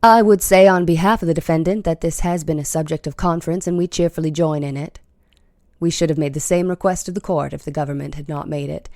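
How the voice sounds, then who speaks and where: A woman's voice: low-pitched, fairly even intonation, quick pace, a little mellow, no echo, studio quality. A woman playing a courteous but firm defense lawyer in a formal 1893 trial drama.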